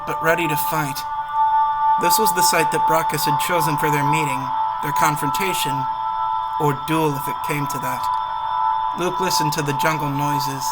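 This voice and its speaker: A man's voice: a little high, rough recording. A man giving a dramatic scripted narration of an adventure story. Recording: loud music behind the voice. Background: loud music.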